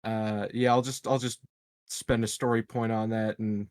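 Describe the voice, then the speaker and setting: A man's voice: on the low side, level tone, normal speed, darkish tone, no echo. Joking man at a dry-sounding game table. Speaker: player in a tabletop roleplaying game. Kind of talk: casual joking table chat.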